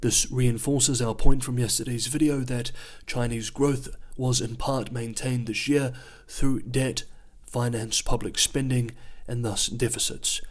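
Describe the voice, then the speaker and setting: Guy doing a news analysis voice-over, steady mid pitch, measured and explanatory, voice getting a bit hoarse. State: losing his voice. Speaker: news channel host.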